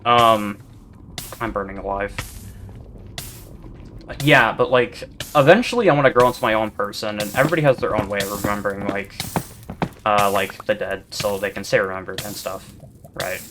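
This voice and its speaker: A man giving rambling, monotone commentary over gameplay, full of fillers and restarts, as a gaming video creator. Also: lowish voice, normal speed, pauses often, faint music behind. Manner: rambling, self-described yapper with many fillers and restarts. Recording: steady background noise.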